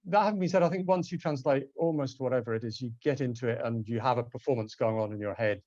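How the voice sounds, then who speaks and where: Translator guy musing out loud on a panel, rewording as he goes, dry lo-fi audio. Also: medium pitch, fast, no echo. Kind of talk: reflective panel discussion.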